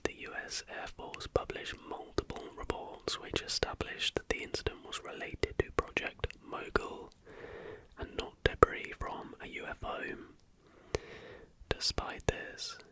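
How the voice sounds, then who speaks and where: Slow, hushed ASMR whisper. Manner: whispered, slow, unhurried delivery. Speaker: host of an ASMR channel. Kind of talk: ASMR, softly whispered reading of facts with casual asides.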